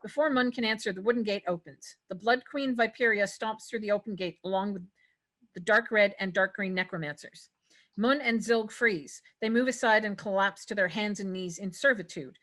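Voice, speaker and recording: A woman with a medium-pitched voice reads scene headings and action lines. It is scripted narration for a table read. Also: normal speed, a bit bright. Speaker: narrator reading stage directions.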